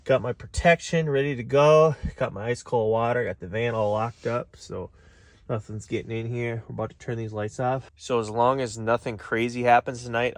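A man's voice: mid-range voice, gravelly, no echo. A young man filming himself on a handheld camera, chatting casually about camping in a van and a forest. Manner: relaxed, rambling with many "like" fillers. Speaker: camping vlogger. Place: inside a parked van at night, then outdoors in a forest campsite. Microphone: phone or action camera held at arm's length.

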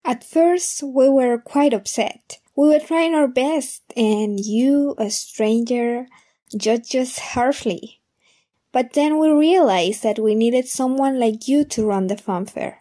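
Higher, mellow funfair woman played by an actress. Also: takes it slowly. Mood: wistful. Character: earnest, gentle female funfair worker.

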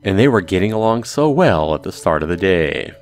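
Man, low voice, lively intonation, casual game narration, amused asides. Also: a little fast, soft background music. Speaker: let's play host. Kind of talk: reading visual novel aloud with casual commentary.

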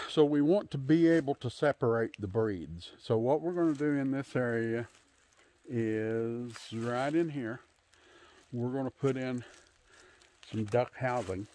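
Guy in his 50s doing a casual walk-around tour outside, average pitch, takes his time, lots of pauses. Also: darkish tone. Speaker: homesteader hosting a channel. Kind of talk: casual unscripted tour narration. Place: outdoors in bare winter woods. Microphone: handheld phone at arm's length.

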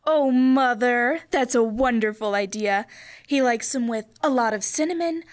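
A woman's voice: a little high, unhurried, close to an everyday voice. A young woman playing an affectionate, eager housewife in old-time radio comedy. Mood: chatty. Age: teens or young adult. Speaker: high school student actor. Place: school library room. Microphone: stand microphone.

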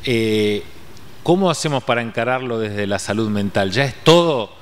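A man's voice: medium pitch, expressive, normal speed. An Argentine man in his forties interviewing conversationally outdoors, hesitantly thinking aloud through long questions. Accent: Argentine Spanish. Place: outdoors in a street-side public space. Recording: faint outdoor ambient noise.

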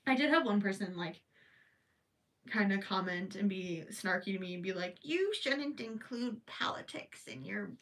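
A young woman with a broad Minnesota accent hosts a crochet livestream, chatting in a loose, rambling way full of wry asides. Her voice is bright and forward. She sits a little back from the mic, so she sounds slightly distant. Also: mid-range voice, expressive, normal speed. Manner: chatty, rambling, joking asides with frequent laughs. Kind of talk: casual livestream chat. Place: small indoor craft room with yarn shelves.

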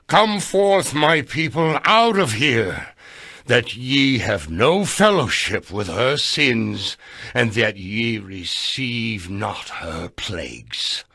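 An actor playing a stern, righteous old gunslinger turned preacher in a video game, speaking in solemn, declamatory biblical phrases. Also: male voice, medium pitch, big pitch swings, takes it slowly, bright, forceful tone, husky, no echo, heavily acted. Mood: stern preaching. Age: older.

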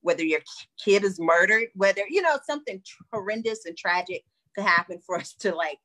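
A woman's voice: mid-range voice, expressive, fairly quick, pushed and bright. A middle-aged woman hosts an interview over a home webcam. She is chatty and encouraging, often laughing along with her guest. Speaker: host. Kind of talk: casual video call interview. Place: living room with fireplace, indoors. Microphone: video call webcam.

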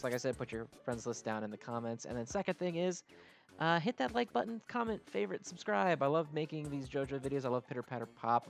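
Music plays clearly behind a man talking in a medium-pitched voice. He gives casual gameplay commentary as the host of a gaming video. His delivery is informal and slangy, with a self-deprecating streak.

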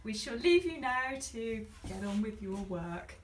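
A woman talks casually from behind the camera at a very slow pace. Her voice is on the higher side. It rings in a roomy, echoey space inside a boat. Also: lively intonation. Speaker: camera operator. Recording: echoey boat interior with faint noise.

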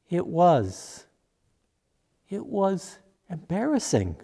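A man's voice: on the high side, darkish tone. An older man playing a troubled, devoted disciple of Jesus in a church monologue, reflective and questioning. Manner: slow, reflective, questioning delivery. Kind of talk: dramatic monologue in a church service. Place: church sanctuary, at a pulpit. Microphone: gooseneck pulpit microphone.

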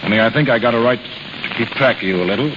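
An actor playing a gruff, slangy carnival barker and protective father, in 1930s radio drama style. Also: medium pitch, fast, close to an everyday voice. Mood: earnest, coaxing. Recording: scratchy, dull 1930s radio broadcast.

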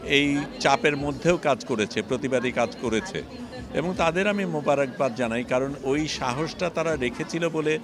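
Man in his sixties, Bangladeshi accent, mid pitch, halting measured interview, busy crowd behind. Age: 60s. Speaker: interviewee, exhibition organizer. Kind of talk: unscripted interview. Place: busy indoor gallery. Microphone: handheld reporter microphone. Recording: busy crowd noise around voice.

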